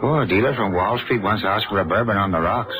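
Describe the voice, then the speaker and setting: Man playing low-voiced, deferential waiter, crowd behind. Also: fast talker, lightly acted. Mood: obliging. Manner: obliging, courteous. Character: deferential, chatty male waiter in City bar. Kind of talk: radio drama acting. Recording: busy crowd chatter behind.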